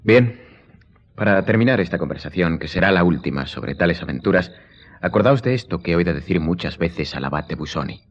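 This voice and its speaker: An actor playing a grave, commanding nobleman in a radio drama, speaking in a solemn, measured, sententious way. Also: low-pitched, lively intonation. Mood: solemn, composed. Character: grave, commanding count, calm and enigmatic nobleman. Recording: dull lo-fi sound, faint music behind.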